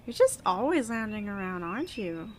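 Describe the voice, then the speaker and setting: A woman's voice: medium pitch, very animated, moderate pace, a little hiss or hum, close to an everyday voice. An actress playing a snide female castle maid who accuses a coworker of laziness, in a sneering voice.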